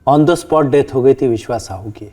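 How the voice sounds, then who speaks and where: A man's voice: mid-range voice, normal speed, lightly acted. Older male retired official, played by an actor, crime drama. Earnest, respectful, explanatory, faint music bed. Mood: earnest recollection. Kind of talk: television crime drama dialogue. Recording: background music under dialogue.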